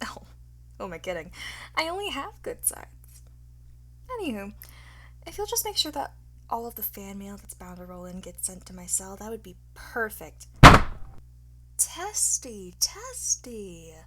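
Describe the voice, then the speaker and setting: A woman playing a cocky, flirtatious art thief in an ASMR roleplay, teasing and smug, often slipping into breathy whispers. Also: medium pitch, big pitch swings, a little slow, pauses often, background noise. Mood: vain, playful. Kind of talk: ASMR roleplay, one-sided acted dialogue.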